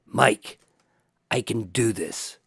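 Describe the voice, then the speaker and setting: An actor playing a determined undercover agent in a radio drama, measured and guarded as he talks and narrates. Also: fairly low pitch, vocal fry, slightly rough, no room sound, clean studio sound, heavily acted. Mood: guarded, matter-of-fact. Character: determined undercover male agent narrating his mob investigation. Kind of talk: radio drama acting and first-person narration.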